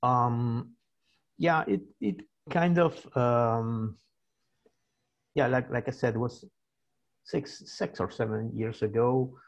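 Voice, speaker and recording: A man's voice: medium pitch, slow pace, pauses often. A man in his sixties with an Argentine Spanish accent, speaking English as a guest in a casual interview, hesitant and full of fillers and restarts. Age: 60s. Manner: hesitant, many fillers and restarts, laughs easily. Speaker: guest author.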